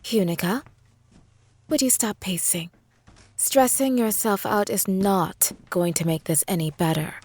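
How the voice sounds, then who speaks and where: A woman's voice: medium pitch, measured pace, a little mellow, slightly rough. A woman playing a composed, reproving witch with formal phrasing in an audio drama. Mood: exasperated. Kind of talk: audio drama character acting.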